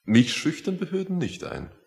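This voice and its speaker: An actor playing a stubborn, unintimidated man in a radio play, courteous and deliberate, philosophical in his phrasing. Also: a little high, sing-song, gravelly. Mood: slow, pointed. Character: stubborn, unintimidated male petitioner, plain-spoken and philosophical. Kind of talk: German radio play acting.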